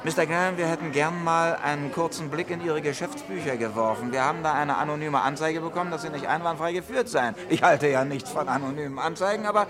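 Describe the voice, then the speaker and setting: A man's voice: on the high side, fast, hardly any pauses, a crowd in the background, lightly acted. An actor playing an affable, slyly ironic male police inspector in a vintage radio crime drama, genial and dry. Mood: dryly amused.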